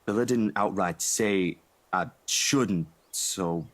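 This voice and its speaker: Visual novel friend: young, caring and easygoing, slightly low voice, colloquial and halting delivery. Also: male voice. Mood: earnest, apologetic. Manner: casual, colloquial, hesitant. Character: laid-back, caring young man, worried for his friend.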